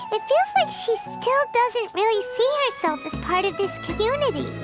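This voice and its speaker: Game casting: chirpy childlike fairy sidekick, extremely high and very animated, cheeky and blunt, loud music underneath. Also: female voice, heavily acted. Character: tiny chirpy childlike female fairy companion, blunt and excitable. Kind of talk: video game voice acting.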